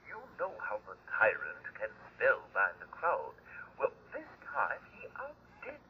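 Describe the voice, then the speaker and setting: An actor playing a pompous, declamatory academic in an old-time radio drama. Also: male voice, mid-range voice, huge pitch swings, a little slow. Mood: self-important. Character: pompous academic man dictating letters. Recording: muffled old radio, faint noise.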